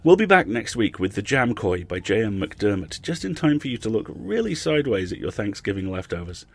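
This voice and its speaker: A man's voice: lowish voice, very animated, a little fast, gravelly. A man hosting a podcast, speaking conversationally to listeners with emphatic phrasing. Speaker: podcast host. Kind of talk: podcast outro with donation appeal.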